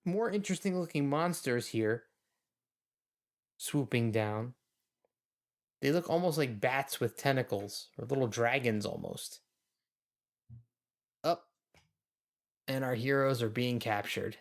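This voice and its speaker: A man with a mid-pitched voice talks through an episode as a podcast co-host. His delivery is casual and musing, with a wry, amused streak. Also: takes it slowly, long pauses.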